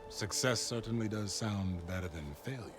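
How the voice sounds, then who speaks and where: An actor playing a cold, imperious military commander in a video game, measured and contemptuous, over game music. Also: male voice, lowish voice, natural voice. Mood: coolly commanding. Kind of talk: video game cutscene acting.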